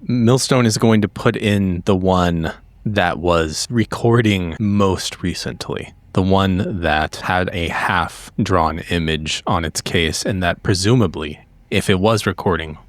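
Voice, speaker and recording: A man's voice: sits low, measured pace, no room sound. A man playing a tabletop game, narrating his character's actions in the third person in a conversational way.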